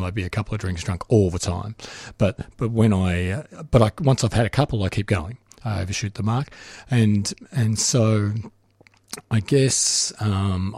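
A man's voice: on the low side, a little mellow, slightly rough, no echo. A middle-aged man talking as a guest, speaking frankly and reflectively about himself in an open conversation. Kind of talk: candid conversation.

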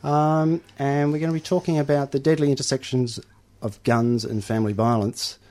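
Male community radio host, mellow tone, halting with uhs. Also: mid-range voice, fairly even intonation. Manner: hesitant, many uhs and ums. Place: radio studio.

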